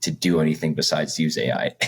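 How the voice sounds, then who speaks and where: A man's voice: rumbling low, flat delivery, a little fast, husky. A young man co-hosting a podcast, rambling casually with lots of fillers and laughs between points. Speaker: podcast co-host. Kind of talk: casual chat.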